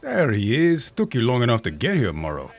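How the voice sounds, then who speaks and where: An actor playing a brash, needling park staffer at a bar in an audio drama, talking in a teasing, colloquial way. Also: male voice, mid-range voice, huge pitch swings, fairly quick, vocal fry, soft background music, heavily acted.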